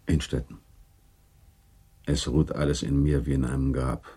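A grave gentleman of a literary radio play, with an extremely deep voice. The tone is dark and somewhat husky. He speaks courteously and formally, measuring his words with quiet resignation. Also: flat delivery, measured pace, full character voice. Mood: solemn, reassuring. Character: grave, courteous gentleman, confidant and second in a duel. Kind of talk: German radio drama acting.

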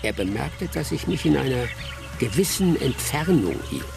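An actor playing an observant old village man who narrates his own tale in a German radio drama, with a gravelly voice. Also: mid-range voice, sing-song, normal speed. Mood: reflective. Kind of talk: scripted first-person storytelling in radio drama. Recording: loud music behind the voice. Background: loud music.

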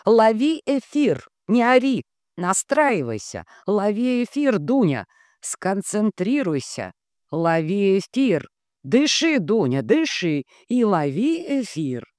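A woman voicing a bossy, coarse female minder who is brisk, stern and cajoling. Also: fairly low pitch, very animated, darkish tone, dry recording, clean studio sound, voice pushed up. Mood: stern commands.

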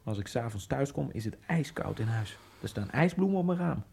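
An actor playing a lonely Egyptian immigrant man in a radio drama, musing in a wry inner monologue that keeps jumping into giddy high outbursts. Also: animated, brisk, vocal fry, darkish tone, breathy edge. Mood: brooding. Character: lonely male Egyptian immigrant, brooding, self-mocking. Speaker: narrator and main character.

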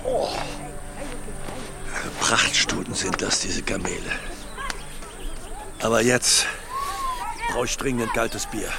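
An actor playing a flustered, jovial man in a radio drama, with a fussy, unhurried manner. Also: on the low side, vocal fry, very noisy, exaggerated voice. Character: flustered, jovial man craving cold beer. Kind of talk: German radio drama acting.

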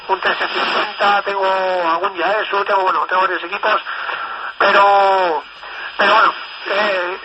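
A man chatting casually over CB radio, enthusiastic and rambling, often repeating himself. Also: high voice. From northern Spain. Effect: FM CB radio transmission. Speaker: amateur CB radio operator. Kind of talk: casual radio contact chat. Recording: noisy, dull CB radio transmission. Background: loud radio static and noise.

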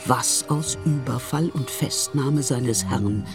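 A woman narrating a radio drama in the past tense, calm and even. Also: extremely low pitch, slow, music in the background.

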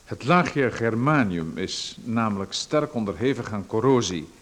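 An actor playing a pushy male salesman, lecturing smoothly and persuasively about a dubious hair machine. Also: fairly low pitch, lively intonation, measured pace. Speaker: radio drama actor. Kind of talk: radio play acting.

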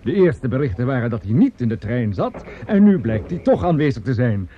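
Actor as a sly, lively, jeering singer. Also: male voice, medium pitch, fairly quick, highs cut off, slight background noise, lightly acted. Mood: knowing, amused. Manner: mocking, familiar. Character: sly, jeering male singer, familiar and amused. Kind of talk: radio play acting.

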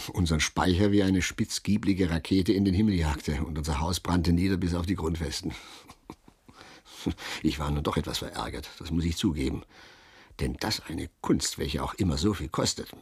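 An actor playing a middle-aged former lawyer turned novelist in a German radio drama, telling his story in a wry, halting, self-deprecating way with a husky, gravelly voice. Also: a bass voice, full character voice. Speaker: narrator and protagonist.

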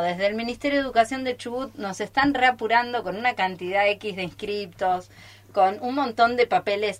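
An Argentine woman speaks at a middle pitch into a studio microphone. She is informal and enthusiastic, like a theatre teacher chatting live on air. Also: a bit bright. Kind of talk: casual live radio talk. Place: radio studio.

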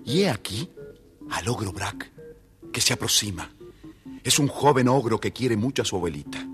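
A man with a husky voice, narrating a scripted children's story. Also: lowish voice, expressive, normal speed, music in the background.

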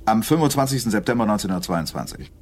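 Brief: middle-aged police chief detective, lowish voice, dry, blunt, radio crime drama. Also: male voice, very animated, fast talker. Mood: matter-of-fact. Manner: matter-of-fact, blunt. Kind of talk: late-1970s radio crime drama acting.

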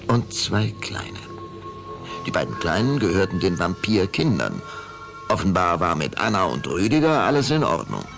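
A man narrating a children's audio drama in a measured, suspenseful storytelling style. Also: deep voice. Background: clear background music.

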